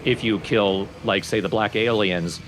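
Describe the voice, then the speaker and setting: A man's voice: on the low side, steady tone, a little slow, a bit bright, slight background noise, poor audio. A man giving casual, explanatory let's play commentary on a video game. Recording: game music behind commentary.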